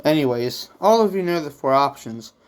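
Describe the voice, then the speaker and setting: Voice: medium pitch, lively intonation, moderate pace. A vlog host who makes manga, talking casually and in a rambling way to viewers, with theatrical touches. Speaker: YouTube host and manga creator.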